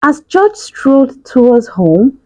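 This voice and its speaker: A woman's voice: high voice, sing-song, slow, a little mellow, dry recording. A woman narrating a children's picture book in a read-aloud style. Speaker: storybook reader.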